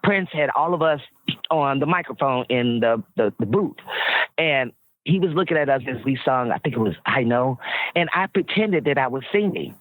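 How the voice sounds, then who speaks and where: A woman with a very deep voice, telling stories bluntly and with profanity as a guest in a casual interview chat. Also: animated, normal speed, no echo. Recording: tinny phone-like line.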